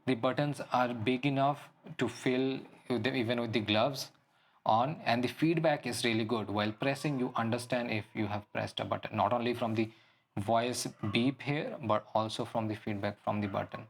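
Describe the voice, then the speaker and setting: A man giving an unscripted product walkthrough as a reviewer, explaining things in halting phrases. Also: mid-range voice, fairly even intonation, a little hiss or hum. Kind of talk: unscripted app and gadget walkthrough.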